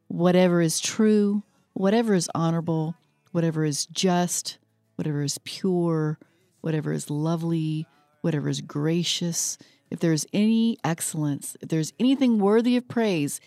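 Upbeat woman radio host, low-pitched. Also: mellow, dry recording. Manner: enthusiastic, upbeat interjections. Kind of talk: radio talk show. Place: radio studio.

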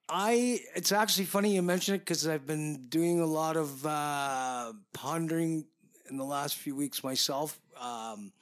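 Hesitant, fairly high-voiced man in his fifties. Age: 50s. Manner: hesitant, pondering, many ums. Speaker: podcast co-host. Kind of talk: casual chat.

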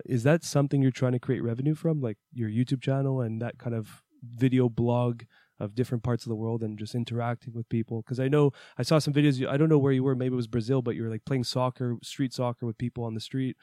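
A man hosting a casual podcast, asking relaxed, curious questions full of fillers. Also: medium pitch, no room sound, studio quality. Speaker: podcast host.

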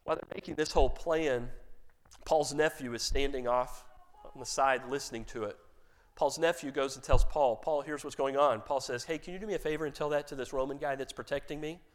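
A man preaching a sermon, retelling a story with lively quoted dialogue. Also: medium pitch, a little fast. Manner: animated retelling, quotes characters in his own voice. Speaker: pastor.

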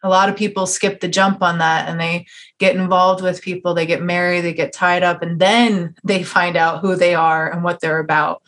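A woman's voice: fairly low pitch, flat delivery, normal speed, a bit bright. A woman hosting a conversational podcast interview, talking at length in an earnest, encouraging way. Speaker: podcast host.

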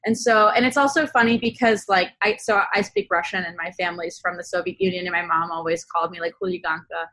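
A young adult woman being interviewed, speaking casually and analytically, often halting and circling back to rephrase. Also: lowish voice, fairly even intonation, sharp tone, dry recording. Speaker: interview guest, zine co-founder.

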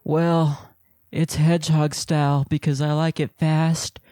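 A man voicing a lewd female mouse comedian, telling raunchy jokes and squeaking between punchlines. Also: flat delivery, unhurried, mellow, no echo, clean studio sound, close to an everyday voice. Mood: cheeky. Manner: raunchy insult-comic delivery with squeaks. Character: lewd female mouse comic roasting a plumber. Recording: noisy recording.

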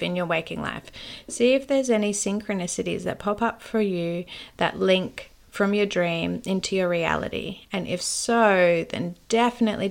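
A woman's voice: mid-range voice, gravelly. A woman who works as a dream teacher, telling a personal story to her viewers in an earnest, heartfelt way.

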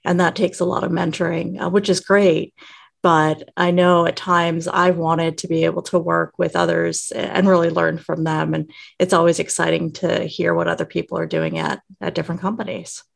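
A woman speaking conversationally as an interviewer on a podcast, in an affirming, measured way. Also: fairly low pitch, vocal fry. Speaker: guest interviewer, resilience specialist.

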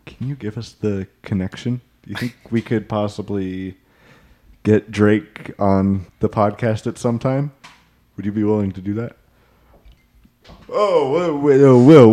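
A man's voice: on the low side, dark tone. Melvis, a man doing a drawling Elvis impersonator. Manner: drawling, calls everyone mama, rambling with many fillers. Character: goofy male Elvis impersonator, forgetful, boastful. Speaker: podcast co-host in character. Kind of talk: improvised comedy podcast bit.

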